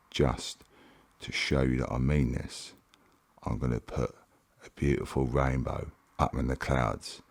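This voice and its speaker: Man doing God, deep gravelly Cockney. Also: no room sound, exaggerated voice. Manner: soft, unhurried ASMR reading. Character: God, a cheerful male deity promising.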